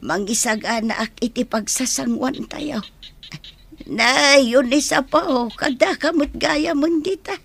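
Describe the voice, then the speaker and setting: A kindly old grandmother in a radio drama. Her voice is high and very creaky, gentle and welcoming in manner. Words come out slowly. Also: exaggerated voice. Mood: gentle, coaxing. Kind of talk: Ilocano radio drama acting.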